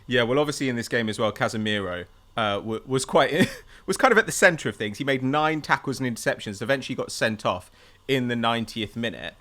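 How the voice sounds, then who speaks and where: Guy hosting a sports podcast, bright voice, casual and kinda inquisitive. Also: medium pitch, fairly quick. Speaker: podcast host. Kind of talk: casual football discussion.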